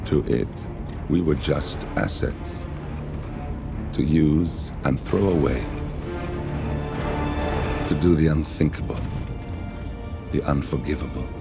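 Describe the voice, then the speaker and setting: Vengeful male ex-assassin, very slow, gravelly, game cutscene. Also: rumbling low, loud music behind the voice, exaggerated voice. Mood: solemn. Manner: slow, solemn, measured. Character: grim, vengeful man, former assassin partner. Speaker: narrator of the game intro. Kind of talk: video game cutscene voice acting. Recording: game cutscene with loud score.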